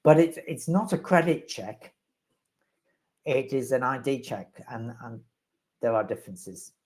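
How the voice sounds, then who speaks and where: Dry-humored man explaining rules, rambling, middle pitch. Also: lively intonation, unhurried, a little mellow. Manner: wry, rambling, self-deprecating humor. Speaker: resident compliance expert. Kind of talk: live webinar Q&A answers.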